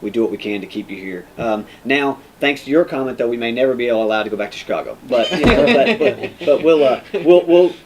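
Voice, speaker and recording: A man with an American accent hosting a casual interview as a police sergeant, in an informal, halting way. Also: medium pitch, expressive, over a noisy crowd. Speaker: podcast host, police sergeant. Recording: noticeable background noise.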